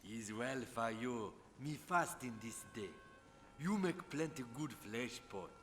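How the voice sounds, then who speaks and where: A menacing cannibal chief in broken English. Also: male voice, medium pitch, expressive, slow, fairly bright tone, slight background noise. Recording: long reverb with game music under it.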